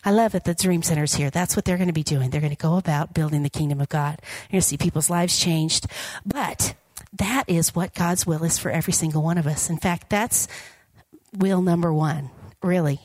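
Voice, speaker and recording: A woman with a slightly raspy, hoarse voice gives an upbeat, jokey sermon from a church platform and keeps asking the congregation to respond. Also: fairly low pitch, darkish tone, no echo. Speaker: guest preacher. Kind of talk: informal sermon with prayer.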